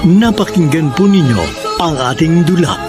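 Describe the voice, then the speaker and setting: A man's voice: a little high, animated, normal speed, music in the background. A man with a Filipino accent presenting a radio drama in a scripted announcer style.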